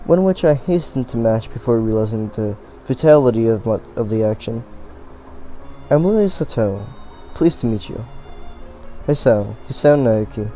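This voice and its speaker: Brief: man narrating a visual novel, mellow and warm, stumbles over words, soft game music behind. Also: fairly low pitch, poor audio. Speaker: let's play reader. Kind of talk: reading a visual novel aloud.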